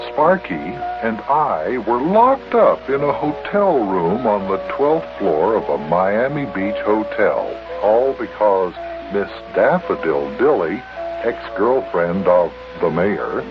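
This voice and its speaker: Vintage radio storyteller man, dull old recording. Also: on the low side. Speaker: host and narrator. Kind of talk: old-time radio children's serial narration.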